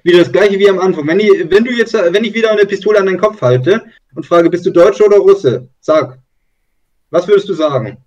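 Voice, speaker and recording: Man, casual chat, probing questions, filler words, slightly high voice, muffled distant audio. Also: fairly quick, darkish tone. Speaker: discussion participant.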